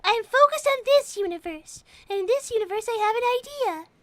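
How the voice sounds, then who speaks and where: A woman's voice: very high voice, expressive, fast, no echo. A woman voicing a young girl rabbit, a prim, indignant schemer who speaks politely and formally in improvised cartoon roleplay. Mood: scheming. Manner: polite, prissy, formal wording.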